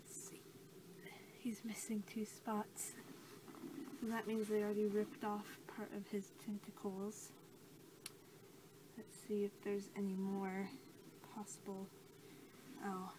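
A young woman speaking softly and haltingly in an ASMR role-play as an examiner, her hushed voice often dropping toward a whisper. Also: mid-range voice. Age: young adult. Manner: gentle, halting delivery that drops into whispers. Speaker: ASMR creator role-playing a creature examiner. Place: small cluttered room indoors. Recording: noisy amateur home recording.

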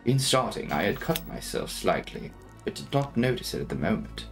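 A young man giving a dramatic, brooding reading of a gothic novel, with theatrical, deliberate phrasing. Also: medium pitch, sing-song, vocal fry, soft background music. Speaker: audiobook narrator.

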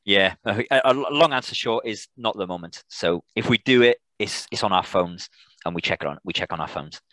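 A British man informally answering questions as a presenter on a video call, in a halting way with repeated words and restarts. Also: fairly low pitch, fairly bright tone, no echo, rough recording. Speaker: air traffic controller, guest presenter.

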